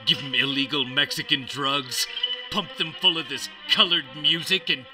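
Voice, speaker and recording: An actor playing a pompous, square, chauvinist superhero detective in a parody of an old-time radio serial, exclaiming melodramatically. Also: male voice, medium pitch, moderate pace, natural voice. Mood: indignant, taunting. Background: music.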